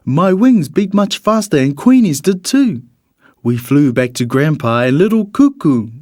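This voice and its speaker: A man reads a rhyming children's storybook in an expressive, measured storytelling manner. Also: on the high side, dry recording, studio quality. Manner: expressive, measured storytelling in the character of a bird narrator. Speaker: storybook reader.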